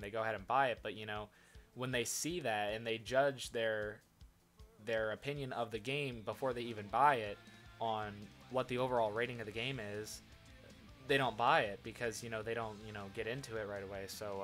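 A man's voice: mid-range voice, fairly bright tone. A young man with a stuffy head cold, talking casually and rambling with many fillers as he hosts his gaming channel from a desktop mic at home. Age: young adult. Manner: rambling, lots of fillers and restarts. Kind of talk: casual commentary over gameplay. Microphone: desktop USB microphone.

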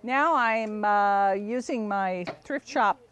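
A middle-aged woman giving a casual, unhurried cooking demonstration, explaining informally as she works outdoors. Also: mid-range voice, a bit bright, clear, resonant tone, dry recording, muffled recording. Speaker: home cook demonstrating a recipe. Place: outdoors in a backyard garden.

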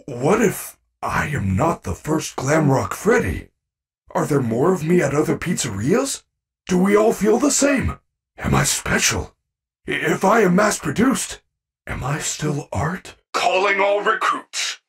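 A man voicing a gentle, earnest animatronic bear in a video game, speaking formally and carefully with few contractions. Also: high-pitched, very animated, measured pace, husky, recorded from a distance, exaggerated voice. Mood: anxious wonder, then booming announcer pitch.

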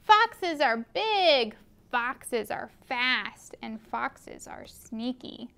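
An admiring little storybook squirrel, played by a young woman reader, full of awe for foxes. The voice is very high and bright, with slow, clear words. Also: very animated, exaggerated voice. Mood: awed, admiring.

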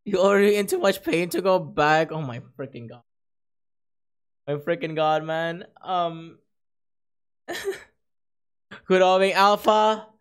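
A streamer who has talked far too long, the voice rough, hoarse and cracking at the edges. The delivery is excitable and gushing, with a self-mocking streak as they keep thanking viewers. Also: mid-range voice, takes it slowly, long pauses. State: hoarse, losing voice. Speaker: livestreamer. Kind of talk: casual stream chat with viewers.

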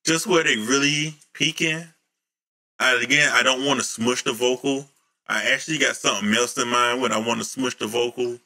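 A man in his thirties, a music producer, talking casually through his vocal mixing steps at home into a studio mic. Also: medium pitch. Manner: relaxed, explanatory, slows down reading settings. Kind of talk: casual tutorial walkthrough. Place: home room. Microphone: studio condenser mic on boom, headphones on.

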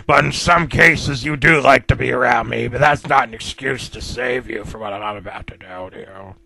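A man's voice: mid-range voice, extremely slow, vocal fry, full character voice. A man voicing a furious, foul-mouthed grown cartoon grump who rants insults at the top of his lungs. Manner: shouting, ranting insults.

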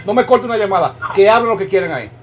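High-voiced Dominican male TV host. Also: expressive, very noisy. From Dominican Republic. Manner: brisk, emphatic, directs the crew on air. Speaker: TV talk show host taking calls. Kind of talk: call-in TV talk. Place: small TV studio set.

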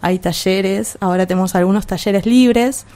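A woman with an Argentine accent explaining things informally in a radio interview, hesitantly and with frequent pauses. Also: medium pitch, mellow. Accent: Argentine Spanish. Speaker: guest, art school administrator.

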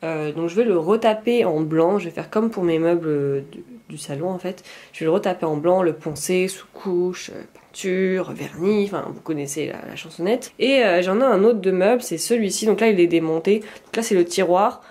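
A woman's voice: on the low side, moderate pace, mellow. A young woman from France chatting casually to a handheld camera in her apartment, with a stuffy nose from a cold, chatty and repeating her words. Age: young adult. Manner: chatty, lots of fillers and repeated words, enthusiastic. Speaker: vlogger. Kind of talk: casual vlog chat to camera. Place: small bright apartment living room, indoors. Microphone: handheld camera at arm's length.

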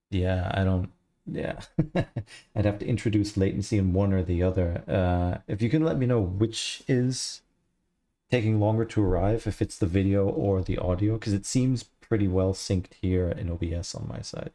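Relaxed low man with gravelly voice. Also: unhurried. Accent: mixed Australian, Irish and American. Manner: relaxed, rambling, answers chat questions. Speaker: livestreamer, 3D artist. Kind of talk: casual livestream chat. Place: home studio, indoors. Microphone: headset.

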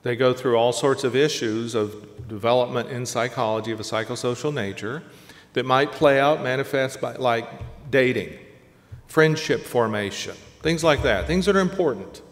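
A man's voice: mid-range voice, normal speed. Older man lecturing in an auditorium. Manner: conversational, lists examples in short phrases, gestures with hands. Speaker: keynote speaker. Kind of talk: conference keynote lecture. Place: large dark auditorium stage at a lectern. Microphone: lectern microphone. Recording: reverberant auditorium sound.

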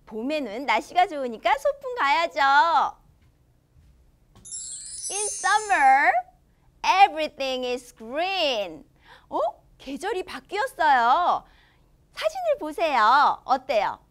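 A woman in her thirties teaches young children English in a studio. Her voice sits high and swoops up and down. She stretches out each English phrase and speaks with an unhurried, kid-friendly cheer. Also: big pitch swings, a bit bright. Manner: slow, exaggerated English read-aloud, with cheerful Korean explanations to kids. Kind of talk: children's English lesson, reading a storybook. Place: studio in front of a green screen.

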